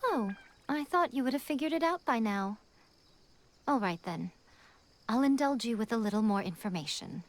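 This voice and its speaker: A woman's voice: on the high side, animated, moderate pace, long pauses, lightly acted. A woman playing a sly, elegant shrine maiden in a video game, poised and formal, teasingly condescending as she gives orders. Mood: teasing, instructing. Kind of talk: English video game voice acting.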